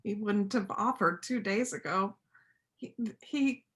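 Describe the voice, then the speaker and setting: Steadfast small-town doctor played by a woman, mid-pitched. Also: slow pace, fairly bright tone. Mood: defiant. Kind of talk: amateur reader's theater acting.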